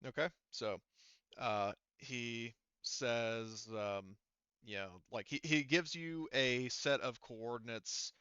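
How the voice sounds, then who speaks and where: A man with a bright, clean voice runs a tabletop roleplaying game as its game master. He narrates casually and haltingly, feeling his way through sentences. The recording is close and dry, slightly dull like voice chat. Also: medium pitch, clean tone, dry recording.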